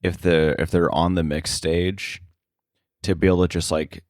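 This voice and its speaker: A man's voice: low voice, flat delivery, normal speed, a little mellow, no echo, studio quality. A man speaking casually as a podcast guest, a sound effects editor with a self-deprecating, chuckling way of talking. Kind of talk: casual interview conversation.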